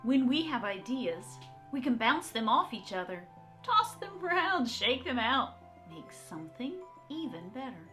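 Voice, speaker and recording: A woman art teacher reading aloud and talking to young children in an encouraging, expressive, direct way. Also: a little high, a little slow, over music. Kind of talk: children's read-aloud and talk to young kids.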